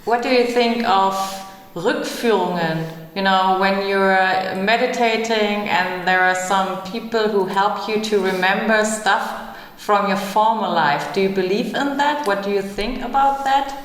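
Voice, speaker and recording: Woman, 30s, German, podcast host, slow-spoken, very echoey room. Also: mid-range voice. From Germany, Hamburg. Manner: asks questions, slow and searching. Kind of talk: casual discussion. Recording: roomy hall, echoey.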